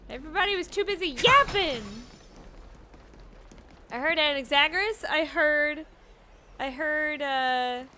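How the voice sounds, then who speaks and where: A woman's voice: sits high, unhurried, pauses often, pushed and bright, slight background noise. A woman streaming a game, reacting live in a casual, exclamatory and playfully pleading way. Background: game music.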